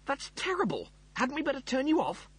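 Audiobook spaceman, male reader: self-important and slow-witted, wordy delivery, very lively pitch. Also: husky, heavily acted. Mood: alarmed. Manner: pompous, wordy. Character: pompous, slow-witted man aboard a spaceship, easily alarmed.

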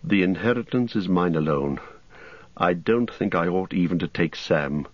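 A man giving voice to a weary hobbit who keeps his answers short and guarded. The voice sits low and husky, and the recording is dry and dull. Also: expressive, moderate pace, dry recording. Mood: grave. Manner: guarded, terse. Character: weary, burdened adult male hobbit Frodo.

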